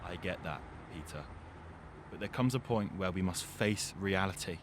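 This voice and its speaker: A man's voice: normal speed, close to an everyday voice. A young man playing an earnest, troubled teenage lad burdened by growing up, reflective in manner, in an amateur audio drama. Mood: earnest, reproving. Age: young adult. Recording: noisy amateur recording, environmental rumble.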